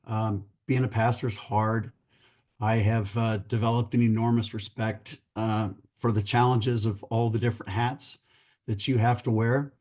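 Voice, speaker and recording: Brief: hoarse middle-aged man presenting to pastors on a video call, earnest and conversational, mellow low tone. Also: level tone, measured pace. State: losing his voice, hoarse. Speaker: church real estate company founder.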